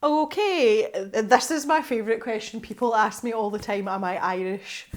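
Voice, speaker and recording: A woman's voice: on the high side, moderate pace. A woman from the west coast of Scotland with a Scottish accent, chatting casually to camera as she answers viewer questions, laughing often and restarting her sentences. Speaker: art channel host.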